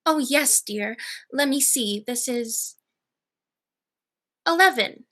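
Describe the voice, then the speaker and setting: A teenage woman playing a mild, cheerful elderly aunt, genteel and serenely matter-of-fact, in a high school radio play. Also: expressive, takes it slowly, a bit bright, exaggerated voice. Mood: placid, matter-of-fact. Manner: genteel, placid.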